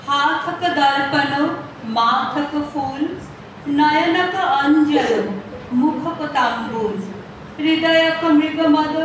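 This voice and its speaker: A woman with a West Bengali accent reciting classical poetry deliberately, in short phrases. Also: high voice. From Kolkata area, West Bengal. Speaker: workshop student. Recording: echoey noisy hall, distant mic.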